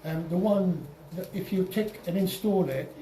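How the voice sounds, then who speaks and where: A man giving an informal software tutorial over slides as a club presenter, halting and often repeating or restarting his phrases. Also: high-pitched. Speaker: presenter at an amateur radio club. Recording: roomy, distant mic, faint noise.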